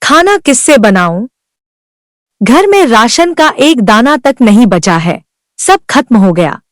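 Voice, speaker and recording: A worn-down young wife, high lively pleading voice, slow, dry sound. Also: female voice, no room sound. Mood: frustrated. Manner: pleading, earnest. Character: Sunita, struggling young village wife, worn down. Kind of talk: Hindi cartoon voice acting.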